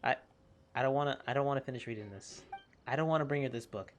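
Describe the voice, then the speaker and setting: A game streamer doing casual, expressive commentary and reading on-screen text aloud in an informal way. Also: medium pitch, fast, a little hiss or hum. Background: game music.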